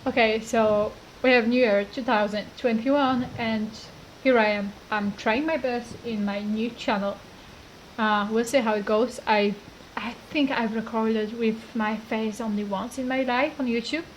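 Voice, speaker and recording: A woman's voice: a little high, a little hiss or hum, poor audio. A young woman from Poland with a Polish accent, chatting casually to camera about a card game, rambling with lots of fillers. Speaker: gaming YouTuber.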